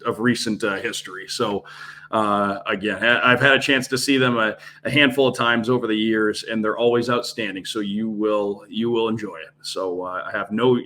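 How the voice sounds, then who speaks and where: Male broadcaster guest, bright voice, easygoing rambling chat. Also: fairly low pitch. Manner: relaxed, rambling, many restarts and fillers. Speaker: radio play-by-play announcer, guest. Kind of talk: casual podcast chat.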